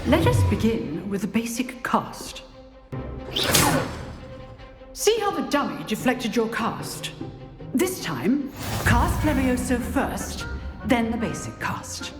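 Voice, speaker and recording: A sharp, proud old witch professor in a fantasy game. She instructs with dry, theatrical command, her pitch moving freely. The voice rings in a long hall reverb over clear music. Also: sing-song, slow. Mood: brisk, instructing. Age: older. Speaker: teacher. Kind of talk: scripted video game acting.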